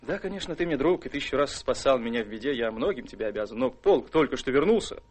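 An actor playing a carefree, charming young officer and rake in a radio drama, with a breezy, gallant, theatrical way of talking. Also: male voice, medium pitch, lively intonation, quick pace, breathy edge. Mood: breezy, defensive.

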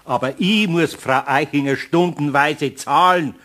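An actor playing an older Bavarian craftsman in a children's radio play, gruff but good-natured, homely and admonishing, with a husky voice and Bavarian accent. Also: on the high side, measured pace, fairly bright tone. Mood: exasperated, admonishing.

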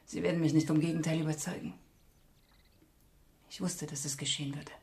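An actress in a radio crime drama playing a grieving, guilt-ridden mother, speaking in halting, broken phrases that sink into whispers. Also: deep voice, level tone, darkish tone, slight background noise. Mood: guilt-ridden grief.

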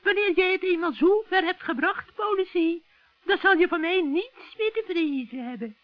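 A man voicing a scheming old witch in a children's radio play, shrill and cackling, with sugary, wheedling friendliness. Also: dry recording. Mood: persuasive, sly. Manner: wheedling, drawn-out coaxing. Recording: dull, dry vintage radio recording.

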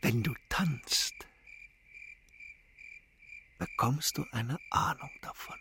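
An actor playing a calm, wise older shopkeeper and mentor in a radio play, speaking deliberately and philosophically. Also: male voice, medium pitch, slight background noise. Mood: calm, contemplative.

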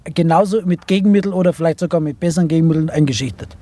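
High-pitched man with a mellow tone. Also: very fast. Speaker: interviewee. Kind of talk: spontaneous opinion-giving.

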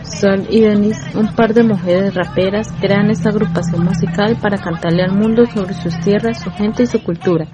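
A woman presenter reads a scripted segment introduction steadily and clearly. Her voice sounds somewhat dull and lo-fi, with music clearly audible behind her. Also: medium pitch, fairly even intonation, normal speed, hardly any pauses. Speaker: radio presenter. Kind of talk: scripted narration.

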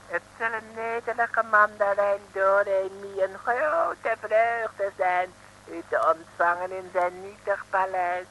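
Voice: medium pitch, steady tone, takes it slowly, bright, forceful tone, a little hiss or hum, rough recording. A performer in an old radio play voicing a falsely humble, fawning Chinese mandarin who speaks in broken pidgin phrases. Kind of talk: 1960s radio play acting.

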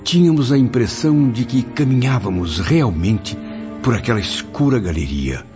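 A man's voice: medium pitch, expressive, normal speed, natural voice. An actor playing a grave spirit who recounts his memories in a literary, reflective way, in a Brazilian radio drama. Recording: dull lo-fi radio drama, loud music behind.

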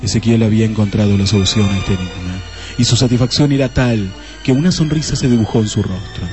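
A man's voice: on the low side, fast talker, over music, close to an everyday voice. An actor playing the narrator of a comic detective radio play, a man reading scripted storytelling in Spanish.

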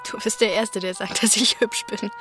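An actress playing a gentle, hopeful young working-class woman in a radio drama, reassuring and devoted. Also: medium pitch, steady tone, normal speed, over music, natural voice. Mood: eager, loving. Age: young adult. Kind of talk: German radio drama acting.

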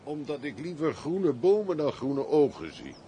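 An actor playing a surly, stubborn servant in a radio play, giving terse, dry-witted replies. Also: male voice, on the high side, big pitch swings, moderate pace, warm tone, slight background noise. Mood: dry, mocking. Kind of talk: Dutch radio play acting.

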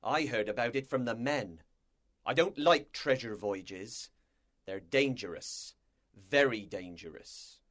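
A man's voice: medium pitch, slow, fairly bright tone. A man voicing a stern, blunt, distrustful sea captain in a storybook, with a curt, commanding manner. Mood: grim, displeased. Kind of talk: storybook character dialogue.

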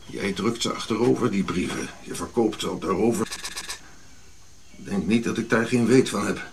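An actor playing an irritable, haughty old African prince in a radio drama, grumbling, scolding and given to reminiscing. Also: male voice, on the low side, moderate pace, a little hiss or hum, close to an everyday voice. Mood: angry accusing.

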